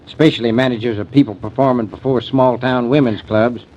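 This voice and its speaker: Man voicing a suspicious small-town sheriff, blunt and bullying, middle-pitched, muffled old radio recording. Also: fairly even intonation, fairly quick, darkish tone, a little hiss or hum, close to an everyday voice. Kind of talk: 1940s radio acting.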